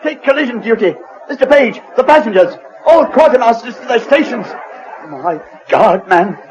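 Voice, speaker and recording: An actor playing a ship's officer giving urgent, commanding orders in a 1940s radio drama. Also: male voice, very high voice, lively intonation, moderate pace, a crowd in the background. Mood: alarmed, commanding. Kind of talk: 1940s British radio acting. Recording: noisy, dull old radio recording.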